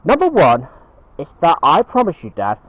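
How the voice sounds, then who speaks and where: A man voicing an earnest, excitable young cartoon bear, higher-pitched. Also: no room sound, dull audio, exaggerated voice. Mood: solemn promising. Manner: halting, earnest, repeats words for emphasis. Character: Ben, excitable young male bear son, earnest and sheepish. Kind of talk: homemade cartoon puppet-style dialogue.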